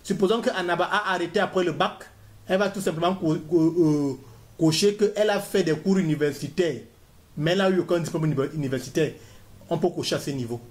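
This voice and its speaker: A man's voice: a little high, brisk. A man giving a step-by-step tutorial, repeating key points as he fills in an online form. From Cameroon. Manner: talks viewers step by step through a form, repeating himself. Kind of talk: screen-recorded walkthrough.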